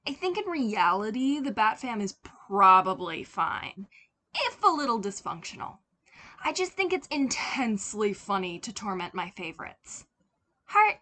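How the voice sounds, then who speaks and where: A woman's voice: mid-range voice, sharp tone. A woman reading a scripted story expressively as an audiobook narrator. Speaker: podfic narrator.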